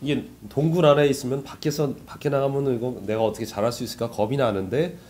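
A male interviewer with a soft, mellow tone talks in a relaxed conversational way. He has a cold and a sore throat. There is clear noise behind his voice. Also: mid-range voice, fast talker. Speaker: interviewer, host of a business talk show. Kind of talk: casual conversational interviewing with frequent backchannels.

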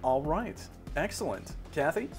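Male interview host over soft music. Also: a little high, big pitch swings, measured pace. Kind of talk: casual interview hosting. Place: indoor room, on a video call. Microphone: video call.

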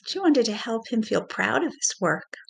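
A middle-aged woman reading a picture book aloud to young children in a deliberate, expressive way, like a teacher. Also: a little high, vocal fry. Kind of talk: children's storybook read-aloud.

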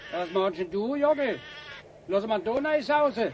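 Casting: alert forest labourer, radio drama. High pitched, colloquial, noticeable outdoor noise. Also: male voice, animated, a little slow, a little mellow. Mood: casual. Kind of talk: rural German radio drama acting. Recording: outdoor ambience with barking dog.

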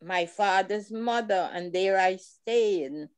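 A woman's voice: mid-range voice, clear, resonant tone, dry recording. An elderly woman from Guyana with a Guyanese accent, giving short, laughing answers on a video call. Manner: slow, laughing, brief answers. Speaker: guest. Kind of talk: casual interview on a video call.

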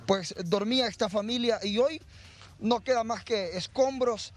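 A man's voice: sits high, unhurried. A man with a Honduran accent reporting live on TV news from the scene of a fire, outdoors, on a handheld mic, urgent and guiding the camera. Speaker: field reporter. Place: outdoors at burned homes.

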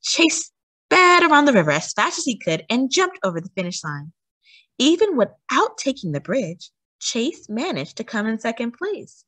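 Female children's storyteller, animated and emphatic read-aloud, very varied intonation, dry sound. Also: on the high side, husky, no room sound.

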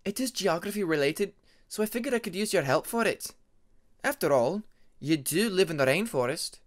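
A man's voice: high-pitched, lively intonation, moderate pace, pitched above the performer's own voice. Man putting on a formal, aloof female dragon. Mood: businesslike. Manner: formal, focused.

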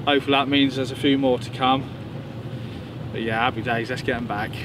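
Young guy doing a fishing vlog outside, pretty flat casual delivery, lots of background noise. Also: mid-range voice, a little fast. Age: young adult. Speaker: angling vlogger. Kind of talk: casual talk to camera. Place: outdoors by a river boatyard. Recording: clearly noisy outdoor ambience.

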